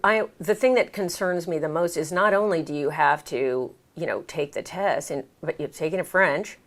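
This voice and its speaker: An American woman chatting casually as an interview guest, telling her experiences in an animated, exclamatory way. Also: low-pitched, moderate pace. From United States. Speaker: interview guest, American who moved to France. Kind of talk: casual conversational storytelling.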